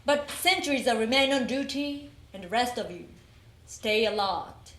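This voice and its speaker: A woman performing in readers theater, reciting dramatically in measured, declamatory phrases. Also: a little high, expressive, fairly bright tone. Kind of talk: dramatic recitation, acting out dialogue. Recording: roomy echo.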